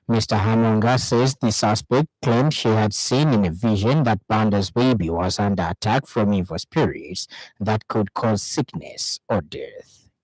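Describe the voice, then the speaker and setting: A man with a Zambian accent reading a scripted radio news bulletin in a formal, measured announcing manner. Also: lowish voice, a little mellow, no echo, low-quality recording.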